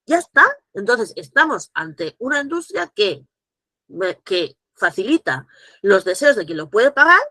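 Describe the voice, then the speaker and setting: A woman, speaking as guest at a virtual conference, animated and full of restarts. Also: fairly high pitch, no echo. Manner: animated, acts out quoted dialogue, frequent restarts. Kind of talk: virtual conference talk, answering questions. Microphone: video call.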